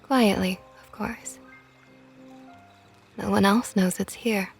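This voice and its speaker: Actress for a seductive female vampire knight, whispering and teasing, slow delivery, mid-pitched voice. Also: fairly even intonation, vocal fry, husky, soft background music, heavily acted. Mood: tender teasing. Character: possessive flirtatious female vampire knight, protective. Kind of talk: ASMR roleplay.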